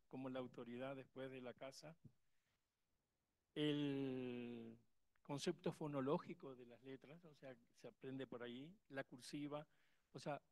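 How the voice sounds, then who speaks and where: A man with an Argentine accent, speaking up from the audience at a conference, emphatic and rambling with many restarts. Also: medium pitch. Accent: Argentine Spanish. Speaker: conference attendee asking a question. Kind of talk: impassioned audience remark.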